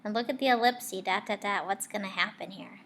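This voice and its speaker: A woman teacher reading a picture book aloud, expressive, pausing to put questions and asides to her young listeners. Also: mid-range voice, slight background noise. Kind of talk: read-aloud storytelling with asides to children.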